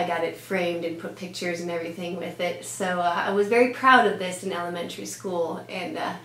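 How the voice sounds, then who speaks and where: A woman in her thirties, born in Denmark with Danish as her first language, chatting casually as a pediatrician answering interview questions, with lots of "uh" and "um". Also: fairly low pitch. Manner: chatty, with frequent "uh" and "um" fillers. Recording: echoey room with faint hum.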